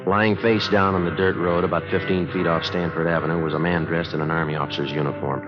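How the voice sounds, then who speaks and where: A man acting a terse police detective sergeant in a radio drama, speaking in a matter-of-fact, deadpan way. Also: low-pitched, quick pace, over music, natural voice. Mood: deadpan narration. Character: terse, businesslike police detective sergeant, also narrating the case. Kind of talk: 1940s-50s radio acting, clipped procedural delivery. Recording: muffled, noisy old radio recording.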